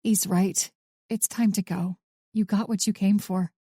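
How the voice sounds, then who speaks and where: Lady doing a calm careful young woman, gentle, steady, warm tone. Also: medium pitch, measured pace, husky, no echo, clean studio sound. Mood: urgent.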